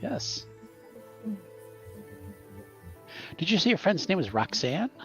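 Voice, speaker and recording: A man voicing a polite, hesitant gentleman adventurer in a tabletop roleplaying game, speaking in a halting way. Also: high-pitched, big pitch swings, slightly rough, voice pushed up, full character voice. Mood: polite, curious. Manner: halting, courteous. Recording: muffled mic, music behind.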